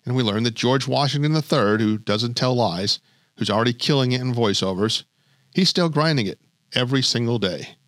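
A man's voice: medium pitch, normal speed, fairly bright tone, no echo, clean studio sound. A male podcast host narrating in a light, wry storytelling way between interview clips. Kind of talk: scripted narration.

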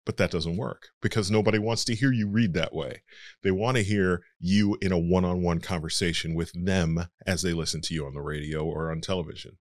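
A man's voice: sits low, fairly even intonation, a little fast, dry recording, clean studio sound. A male voice actor being interviewed, explaining his trade in a frank, matter-of-fact conversational way. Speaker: voice actor guest.

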